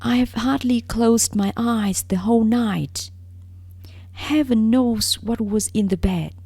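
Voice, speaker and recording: A woman's voice: fairly high pitch, slow, darkish tone, breathy edge, no echo, voice pushed up, full character voice. Woman voicing a delicate, plaintive young storybook princess. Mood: plaintive complaint. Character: delicate young princess, complaining of a sleepless night.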